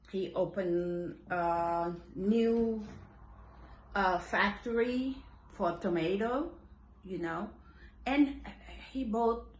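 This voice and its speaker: Slow, emphatic woman in a roomy space. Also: medium pitch. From Senegal. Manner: emphatic, repeats and restarts phrases. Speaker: commentator. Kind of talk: impassioned monologue on politics. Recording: roomy echo.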